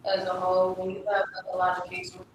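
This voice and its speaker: A young woman giving halting personal testimony as a guest in a public community conversation. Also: fairly low pitch, completely flat delivery. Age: young adult. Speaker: community panel guest, former foster youth. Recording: very echoey room, distant mic, noisy.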